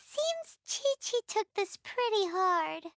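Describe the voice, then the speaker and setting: A tiny game fairy girl, extremely high and squeaky. She exclaims bluntly with big, lively swings of pitch, in a very dry recording. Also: takes it slowly, no room sound, exaggerated voice. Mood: sympathetic. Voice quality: squeaky childlike. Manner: refers to herself in third person, exclaiming. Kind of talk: video game voice acting.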